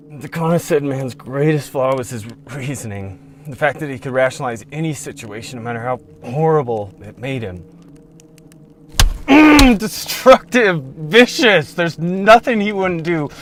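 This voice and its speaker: A man's voice: a little high, natural voice. An actor playing a wounded, suffering man who is intense and accusing, in an audio drama.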